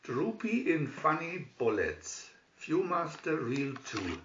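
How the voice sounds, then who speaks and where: A man reading a children's story aloud in an expressive, theatrical manner, as a scripted narration. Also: fairly high pitch, slow, a little hiss or hum. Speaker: storybook reader. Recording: echoey room, distant dull microphone.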